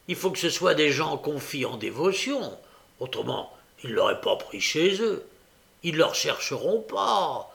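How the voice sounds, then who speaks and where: A man voicing a brutal, fierce robber in an audiobook story, with a gruff and threatening manner. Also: fairly high pitch, a bit bright, full character voice. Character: brutal, fierce male robber with a savage temper.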